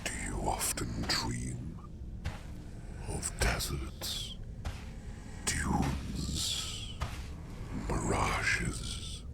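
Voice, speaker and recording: A man playing a solemn, protective sleep demon in an ASMR roleplay, speaking formally and deliberately in a gravelly, breathy half-whisper. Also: rumbling low, very noisy, heavily acted. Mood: curious, calm. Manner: formal, archaic, slow deliberate phrasing.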